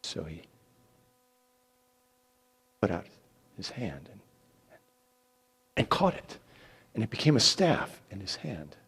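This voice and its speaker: A man reading scripture as an expressive, theatrical narrator. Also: low voice, slow pace, pauses often, breathy edge. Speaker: scripture reader.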